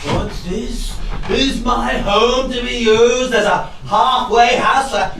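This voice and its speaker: A man's voice: very high-pitched, fairly even intonation, slow, gravelly, full character voice. Guy playing pompous angry victorian dad in a stage comedy, really drawn out delivery, distant mic w/ noise. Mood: outraged, blustering. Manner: pompous, declamatory, speaks in rhyme. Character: blustering, outraged Victorian father with shotgun. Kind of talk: comic costume stage melodrama. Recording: echoey hall from far off, noisy.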